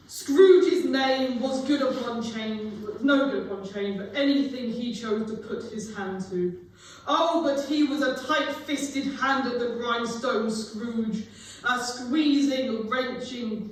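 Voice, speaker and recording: A woman playing a dead man's ghostly narrator, telling the story in a deliberate, emphatic, dramatic way. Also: fairly high pitch. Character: Marley, dead business partner, grave storyteller of Scrooge's tale. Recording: very echoey hall, distant mic, faint noise.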